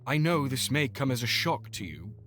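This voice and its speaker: A man playing a gentle, hesitant middle-aged doctor who speaks softly and falters over hard news. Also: mid-range voice, sing-song, soft background music. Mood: somber. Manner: halting, soft-spoken. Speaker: series narrator and director. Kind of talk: audio drama acting.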